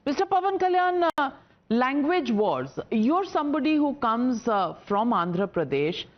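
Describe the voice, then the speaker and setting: Woman, news anchor, fairly high voice, probing questions, muffled lo-fi audio. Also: big pitch swings, unhurried. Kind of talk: broadcast interview questions. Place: Delhi studio. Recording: dull lo-fi broadcast audio.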